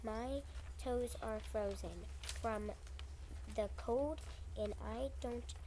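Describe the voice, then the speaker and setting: A woman's voice: on the high side, extremely slow, warm tone. A woman playing a tiny, shivering meadow mouse, polite and pleading, in a readers theater story. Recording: noisy live room recording.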